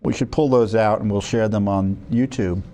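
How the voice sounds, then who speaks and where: A man's voice: lowish voice, fairly even intonation, normal speed, warm tone. An American man presenting news commentary as a spokesman, part reading and part ad-libbing, often stumbling and correcting himself conversationally. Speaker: watchdog group spokesman presenting records. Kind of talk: news commentary to camera, part read out, part ad-libbed.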